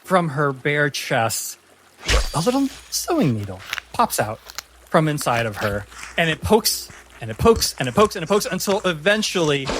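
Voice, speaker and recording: A man narrating a tabletop fantasy game as game master, theatrical and deliberate. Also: on the high side, noisy background.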